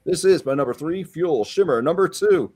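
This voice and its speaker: A man's voice: fairly high pitch, animated, moderate pace, darkish tone, slightly rough. A man in his fifties, a panelist on a casual livestream music chat, enthusiastic and jokey. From Pennsylvania. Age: 50s.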